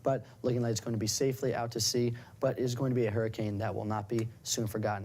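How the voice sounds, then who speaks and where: A man's voice: mid-range voice, darkish tone, slight background noise. A young man delivering a broadcast weather forecast in an upbeat presenter style, walking viewers through the map. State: slightly hoarse.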